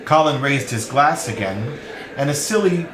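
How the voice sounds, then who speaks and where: A man's voice: medium pitch, animated, normal speed. A man narrating a novel in a scripted, literary reading. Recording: roomy live reading, crowd sounds behind.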